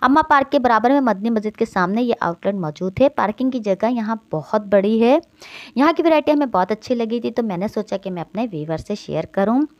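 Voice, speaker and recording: A woman's voice: fairly high pitch, brisk, hardly any pauses, no echo, lo-fi sound. A woman vlogger with a Karachi Urdu accent, talking straight to her viewers as she tours a clothing shop on her phone. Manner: lively, addresses viewers directly, prompting questions. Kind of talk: shop tour vlog, interviewing owner.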